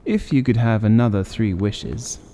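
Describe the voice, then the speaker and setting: A man's voice: on the low side, normal speed, dark tone, close to an everyday voice. Man voicing an earnest old father, echoing reverb. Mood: keen curiosity. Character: curious, credulous, gentle old father and husband. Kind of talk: audiobook character voice. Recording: long reverberant hall-like echo.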